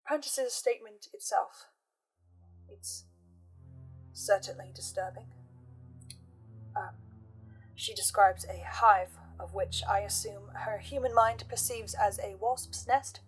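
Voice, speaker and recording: A woman hosting a scripted horror analysis, speaking as an investigator in a measured, deliberate way with dramatic pauses. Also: medium pitch, rough recording. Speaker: host of a fan theory channel. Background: music.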